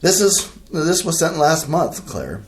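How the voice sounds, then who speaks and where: A man's voice: fairly high pitch, big pitch swings, slow pace. An actor in a community staged reading playing a fussy, orderly Midwestern husband and father who grumbles as he explains. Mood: methodical.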